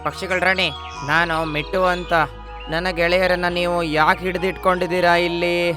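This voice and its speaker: A man's voice: high voice, little pitch movement, moderate pace, music in the background, lightly acted. A man voicing a brave, good-hearted little parrot who speaks earnestly in a cartoon story. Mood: pleading.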